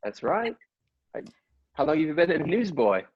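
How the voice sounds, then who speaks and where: A man playing an excitable, easily awed newsboy who stammers and repeats himself in an improvised comedy sketch. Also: a little high, normal speed, husky, lo-fi sound. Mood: awestruck. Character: excitable, awestruck young newsboy, eager and fawning. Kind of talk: improvised comedy sketch spoofing old radio plays.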